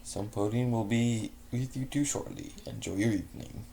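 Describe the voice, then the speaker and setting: A man's voice: medium pitch, normal speed, mellow, slight background noise, natural voice. A man reading a fanfic aloud, voicing a formal, courteous male pony restaurant host.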